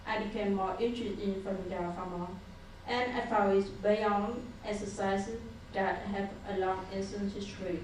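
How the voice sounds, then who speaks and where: A woman from Vietnam with a Vietnamese accent, reading a prepared statement from paper at a council meeting in a careful, halting way. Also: mid-range voice, fairly even intonation, slight background noise. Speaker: public commenter. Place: city council chamber. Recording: echoey room picked up from far off.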